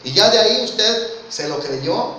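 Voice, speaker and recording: A man's voice: sits high, expressive, unhurried, pushed and bright, far from the mic. A man from Mexico with a Mexican Spanish accent, preaching a sermon in church in an earnest way, often calling listeners "brother" and asking rhetorical questions. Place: church hall. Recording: very echoey hall, mic from afar, faint noise.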